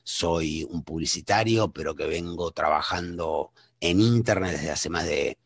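A man's voice: deep voice, measured pace, vocal fry, breathy edge, no room sound, clean studio sound. A man, presenting a live online seminar in a conversational way with frequent fillers and restarts. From Argentina. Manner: conversational, self-introducing, many fillers and restarts. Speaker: webinar presenter.

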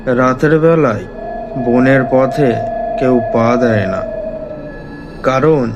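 A man's voice: medium pitch, takes it slowly, dull audio, loud music behind the voice. A man narrating a scripted village ghost story as a horror storyteller.

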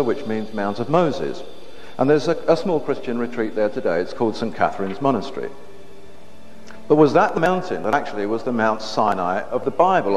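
A middle-aged man giving a public lecture on a lapel mic, talking in a measured way with frequent restarts and repeated words. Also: mid-range voice. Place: stage with curtain backdrop, indoors. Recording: dull lo-fi hall recording with faint hiss.

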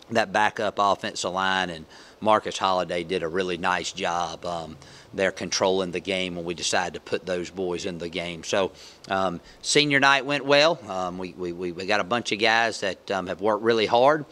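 A middle-aged man takes questions as a head football coach after a game, seated at a press table with a microphone in front of him. His voice sits fairly low but carries a bright, forward edge. He talks in a halting, matter-of-fact way, full of ums and restarts. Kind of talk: post-game press conference. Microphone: table mic.

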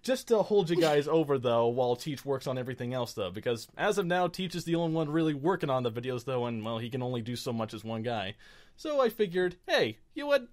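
A man's voice: medium pitch, very animated, quick pace. A man hosting a gaming show in unscripted casual chat, rambling and stammering in a self-deprecating way.

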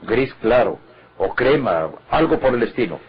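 A man playing a confident, decisive criminal schemer with a husky voice in an old Mexican radio drama. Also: mid-range voice, big pitch swings, measured pace, exaggerated voice. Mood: cool, assured. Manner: assured, decisive. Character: confident male schemer covering up a crime. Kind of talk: golden-age Mexican radio drama acting. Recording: dull vintage radio recording.

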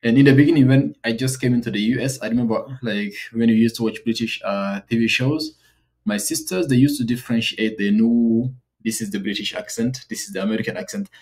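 A young man with an African accent, reacting to a comedy clip in a small indoor room. He speaks in an earnest, wondering way, with a medium pitch. He is recorded close on earbuds. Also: steady tone, a little fast, a little mellow. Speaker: reaction video host. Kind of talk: casual reaction commentary.

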